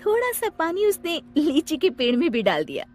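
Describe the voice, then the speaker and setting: A woman's voice: high voice, very animated, normal speed. A woman narrating a scripted children's story as a storyteller. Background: music.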